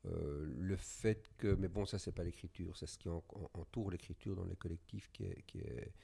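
A man with a deep, low voice speaks up in a round-table of writers. His tone is warm and dark. He talks haltingly, with frequent restarts and a dry, self-mocking humour. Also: creaky, slightly rough. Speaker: writing collective member.

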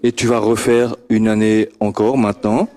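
A man's voice: lowish voice, little pitch movement, normal speed, darkish tone. A man interviewing children at a homework-help group on a handheld mic. He stammers, repeats words and chuckles often. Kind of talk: friendly questioning of children. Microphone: handheld microphone passed around.

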